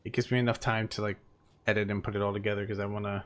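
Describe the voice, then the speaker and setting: A man's voice: lowish voice, little pitch movement, very fast, a bit bright. A man streaming a game live, chatting casually and rambling with plenty of fillers, tired and losing his voice.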